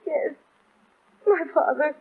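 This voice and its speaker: A woman's voice: high-pitched, takes it slowly. An actress playing a frightened young woman in an old radio drama, hesitant and faltering. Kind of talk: 1940s radio acting. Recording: tinny old radio recording.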